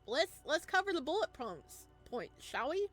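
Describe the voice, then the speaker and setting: Woman doing incredulous young guy in a story game, sarcastic, voice jumps up high, music under it. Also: very animated, unhurried, fairly bright tone, exaggerated voice. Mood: sarcastic disbelief. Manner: exasperated, emphatic, sarcastic. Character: incredulous, scornful young man, sleepless protagonist.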